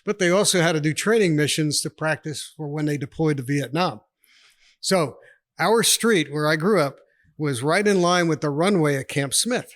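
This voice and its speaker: A man's voice: fairly high pitch, normal speed, dry recording, clean studio sound. A man in his sixties from New York State, a retired army officer telling stories as a podcast guest in a chatty, rambling way, laughing often. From New York State, USA. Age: 60s. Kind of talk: casual storytelling interview.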